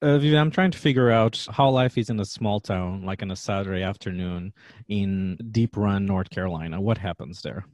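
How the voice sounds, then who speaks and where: A middle-aged man from Portugal with a Portuguese accent, hosting a food podcast with an upbeat, energetic manner. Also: lowish voice, normal speed, dry recording. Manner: energetic upbeat intro, quieter when asking questions. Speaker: podcast host and chef. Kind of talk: podcast interview and ad read.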